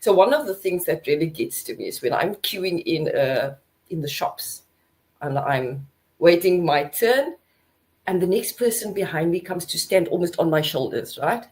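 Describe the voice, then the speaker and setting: A South African woman hosting a podcast, chatting in a relaxed way and often checking in with her guests. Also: fairly low pitch, normal speed. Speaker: podcast host. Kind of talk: casual chat.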